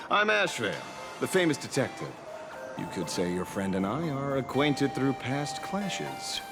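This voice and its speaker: A man's voice: mid-range voice, very animated, gravelly. An actor playing a theatrical, slightly awkward male detective with a vengeful streak in a video game. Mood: playful, smooth. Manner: theatrical, swings from grave and measured to flustered stammering. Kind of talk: dramatic video game character acting. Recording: background music under dialogue.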